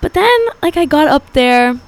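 A young woman chatting as a guest in an interview. She talks in a relaxed, rambling way with lots of fillers. Her pitch jumps up sharply whenever she gets excited. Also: moderate pace. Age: young adult. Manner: chatty, many fillers, voice leaps high when excited. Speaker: guest singer. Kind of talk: casual interview chat.

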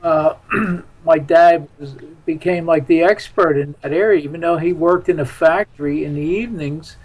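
A man from the United States speaks in a fairly high-pitched voice as a guest on a talk show. His delivery is casual and halting, with repeated words and hesitations. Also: poor audio. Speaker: interview guest. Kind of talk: casual reminiscing interview talk.

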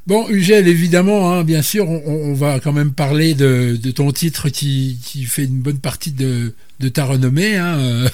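A man's voice: on the high side, normal speed, few pauses, a little mellow, dry recording. A man hosting a radio show who interviews his guest, hesitant and often repeating words.

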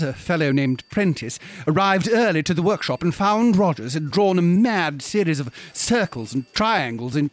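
A man's voice: on the high side, close to an everyday voice. An actor playing an affable, gossipy British newspaperman in a radio drama, polite and understated. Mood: intrigued, confiding.

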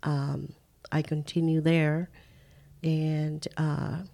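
An older woman from the Caribbean, a guest in an interview, speaking unhurriedly and halting with fillers. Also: low voice, level tone, gravelly, warm tone. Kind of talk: casual interview chat.

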